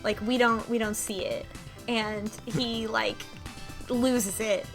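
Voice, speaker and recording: A woman co-hosting a casual podcast, recapping a video game in an animated, amused way. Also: a little high, slow pace, faint music behind. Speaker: podcast co-host.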